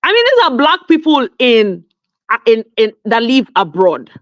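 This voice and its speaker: Nigerian woman, expressive, emphatic commentator; lo-fi, dry recording. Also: fairly high pitch, moderate pace, no echo. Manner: animated, emphatic storytelling with frequent restarts. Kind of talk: casual online chat. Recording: dull lo-fi audio.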